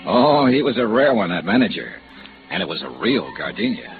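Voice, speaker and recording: An actor playing a sardonic, hard-boiled insurance investigator with an American accent, in deadpan 1950s radio drama style. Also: male voice, on the low side, brisk, faint music behind. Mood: wry narration. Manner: sardonic, deadpan, clipped questioning. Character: wry, hard-boiled male insurance investigator narrating and questioning. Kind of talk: 1950s American radio noir detective acting. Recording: scratchy, muffled vintage radio recording with hiss.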